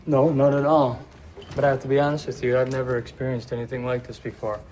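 A man playing a calm, reassuring doctor in a film clip. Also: mid-range voice, lightly acted. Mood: apologetic. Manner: gentle, measured, reassuring. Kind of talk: film drama. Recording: dull film-clip audio, faint noise.

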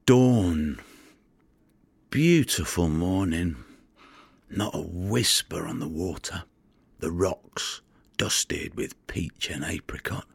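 An actor playing a world-weary, wary fisherman in an audio drama monologue, with a gravelly voice and a wry, colloquial way of telling it. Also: low voice, no echo, full character voice. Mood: lyrical, calm.

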